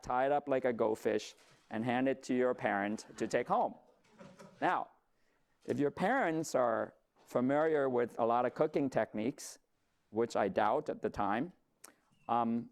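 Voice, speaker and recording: A man's voice: medium pitch, darkish tone. A middle-aged man from Vietnam, a company founder, giving a conversational talk with light humor and occasional fillers. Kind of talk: presentation to an audience.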